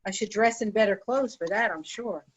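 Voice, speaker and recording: A woman's voice: medium pitch, normal speed, husky, lightly acted, poor audio. A woman reading the lines of a wry, teasing woman greeting unexpected visitors.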